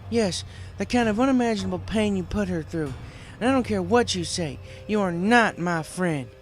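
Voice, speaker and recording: A man's voice: high-pitched, lively intonation, normal speed, soft background music, voice pushed up. A man voicing a defiant, protective female farm pony, giving firm, threatening retorts in a read-aloud story. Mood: angry.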